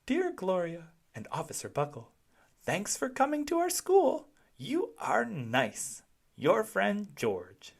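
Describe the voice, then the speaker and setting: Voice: medium pitch, wildly swooping pitch, slow, vocal fry, slightly rough, pitched above the performer's own voice, exaggerated voice. A performer voicing a sweet, earnest child in a storybook, with a simple, careful, sing-song manner. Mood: sweet, grateful. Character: sweet, earnest child writing thank-you letters.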